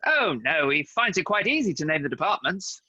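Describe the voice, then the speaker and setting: A man acting a self-important, bumbling private detective in a comedy radio serial, with a pompous, deadpan delivery. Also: fairly high pitch, brisk, no room sound, lo-fi sound, exaggerated voice. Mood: official.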